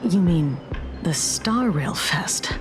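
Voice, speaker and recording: Cool, low-voiced investigator. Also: female voice, animated, takes it slowly. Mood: measured. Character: cool, pragmatic, detached female investigator. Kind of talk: video game voice acting. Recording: music behind the voice.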